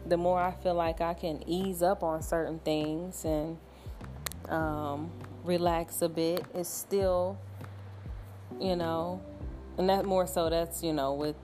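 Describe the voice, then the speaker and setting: Soft-voiced woman hosting a late-night podcast. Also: low voice. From Cincinnati, Ohio. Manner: relaxed, chatty, with frequent fillers and soft laughs. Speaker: podcast host. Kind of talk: casual solo podcast talk. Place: at home at night.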